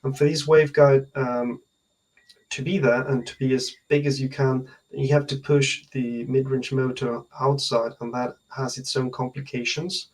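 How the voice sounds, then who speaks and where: A man in his thirties speaks as an engineer being interviewed on a video call. His voice sits at a middle pitch. He explains technical detail hesitantly, often pausing mid-sentence to gather his thoughts. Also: flat delivery, low-quality recording. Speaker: loudspeaker research engineer, interview guest. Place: indoor room at home, on a video call.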